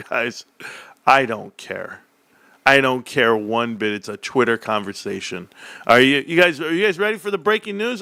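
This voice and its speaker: A man ranting on his own stream in a sharp, bright voice that sits a little high for a man. He is combative and sarcastic, reading out messages between his own pointed jabs. The sound is dry and close to the mic. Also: no echo. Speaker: stock commentary streamer. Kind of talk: heated casual rant.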